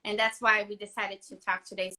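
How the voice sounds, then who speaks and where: A woman journalist hosting a conversational interview, enthusiastic and warm. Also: medium pitch, little pitch movement, brisk. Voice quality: warm, enthusiastic, restarts often. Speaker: host, journalist.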